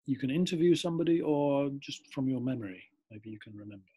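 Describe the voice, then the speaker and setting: A middle-aged man from England, giving a cheerful, expressive online lesson from a home room over a webcam. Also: mid-range voice, fast talker, husky. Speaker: English teacher. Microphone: webcam on a computer.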